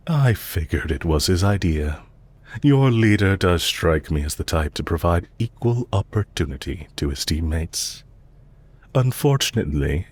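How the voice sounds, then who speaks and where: Man playing a deep-voiced, courteous villain leader. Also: breathy edge, full character voice. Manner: polite, measured, formal, softly commanding. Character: courteous villain leader, calm, genteel, solicitous captor. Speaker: audio roleplay performer. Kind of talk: scripted roleplay audio drama.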